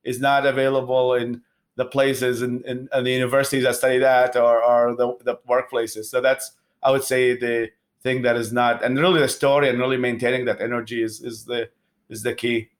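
A man from Iraq in his thirties, a guest answering interview questions in an earnest, halting way with many restarts. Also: medium pitch, level tone.

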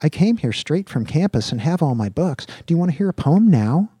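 A man's voice: on the high side, very animated, brisk, warm tone, husky, dry recording, pitched above the performer's own voice. A man voicing an eager, naive young male college student in an audiobook, chatting in casual slang. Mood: eager, chatty. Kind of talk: audiobook character dialogue.

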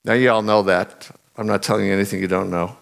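A middle-aged man preaching a sermon in an earnest way, voice a bit husky and lowered after singing loudly, leaning hard on key phrases. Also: steady tone, fast talker.

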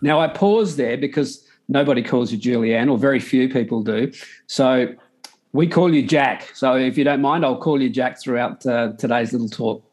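A man hosts a podcast interview, his voice sitting at an ordinary middle pitch. He asks his questions in a friendly, measured way. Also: darkish tone. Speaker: podcast host. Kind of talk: interview hosting.

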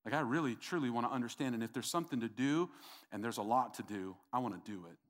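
A man's voice: mid-range voice, fast talker. A male pastor preaching a sermon in an earnest, repetitive way, speaking directly to his congregation. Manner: earnest, repeats himself, talks to the congregation.

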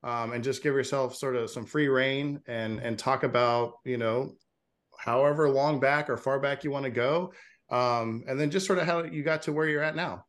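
Forties male host, friendly and chatty, easy laugh, mid-pitched voice. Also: fairly even intonation, moderate pace, fairly bright tone. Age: 40s. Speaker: podcast host. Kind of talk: casual interview hosting.

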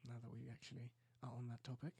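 A man's voice: monotone, quick pace, gravelly, husky, dry recording, studio quality. A young man in his late teens from Greece with a Greek accent, co-hosting a podcast in casual chat and telling rambling, laughing stories. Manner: rambling, laughing storyteller, voice often drops low and soft. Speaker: podcast co-host.

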